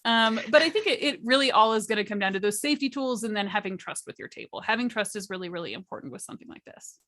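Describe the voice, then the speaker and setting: A woman's voice: mid-range voice, big pitch swings, fast talker, sharp tone. A woman speaking as a panelist in a casual discussion, with playful, dramatic emphasis. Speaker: workshop panelist.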